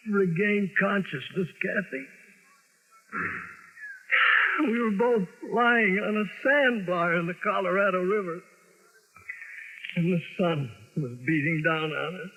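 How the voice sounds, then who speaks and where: A man's voice: high-pitched, a little slow, long pauses, fairly bright tone, dull audio. An actor playing a wounded, feverish man confessing a strange secret with overwrought intensity in a vintage radio drama. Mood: feverish, dazed. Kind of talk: 1950s radio drama acting. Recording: noisy old radio broadcast recording.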